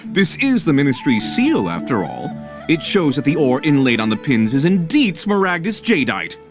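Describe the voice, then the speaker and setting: Indignant merchant, theatrical, polished phrasing, very animated pitch, video game cutscene. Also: male voice, normal speed, over music. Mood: smug. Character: indignant, slippery male merchant arguing his case.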